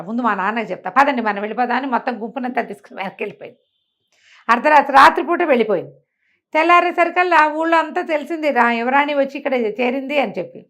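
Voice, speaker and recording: A woman telling a folk tale in an animated, conversational way, acting out the characters' exclamations. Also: a little high, gravelly. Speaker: storyteller. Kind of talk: animated oral folk storytelling to a listener.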